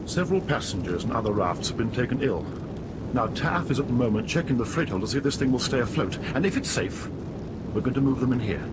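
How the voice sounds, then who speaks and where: An actor playing a stern, authoritative British airline captain in a crisis, brisk and commanding, in a 1970s radio drama. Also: male voice, animated, lightly acted. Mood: curt, stern. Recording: 1970s radio recording, loud rumbling noise.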